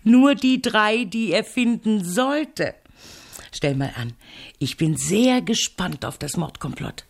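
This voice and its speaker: An actress playing a smug, scheming older aunt, condescending and self-assured, in a German radio drama. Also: female voice, medium pitch, lively intonation, slow, creaky, exaggerated voice.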